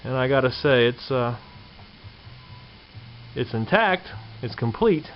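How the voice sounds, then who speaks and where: A man's voice: mid-range voice, animated, slow pace, soft background music. A man giving a casual, unscripted show-and-tell in a folksy, colloquial way, going through details step by step. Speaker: vintage radio collector presenting a find. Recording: dull lo-fi camera sound, background noise.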